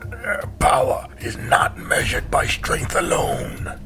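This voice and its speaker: Man acting a creaky, grave old archmage. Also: medium pitch, very animated, slow, sharp tone, soft background music, heavily acted. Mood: defiant. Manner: grave, solemn, urgent. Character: wise, fearful old archmage guarding a magic book. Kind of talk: fantasy audio drama acting.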